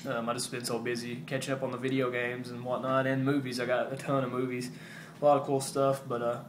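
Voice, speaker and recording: A man talks casually to his viewers in a roomy, echoing space with faint noise behind him. His delivery is laid-back and rambling, with a halting flow and a mid-range pitch, like a collector showing off his shelf at home. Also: flat delivery. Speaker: video game collector vlogger. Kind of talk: casual show-and-tell update to viewers. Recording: roomy echo with background hiss.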